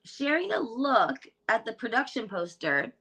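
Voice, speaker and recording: A woman's voice: a little high, big pitch swings, measured pace, vocal fry, a bit bright, rough recording. A woman hosting a casual gossip chat, reading headlines aloud in a breezy, chatty way with lots of fillers. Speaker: gossip channel host.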